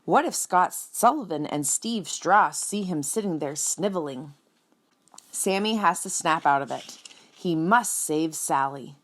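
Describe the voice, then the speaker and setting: A woman reads a picture book to young listeners in a slightly low voice. She takes her time, speaking very slowly and addressing the children directly. Her storytelling is expressive, with dramatic emphasis on key words. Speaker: children's read-aloud reader.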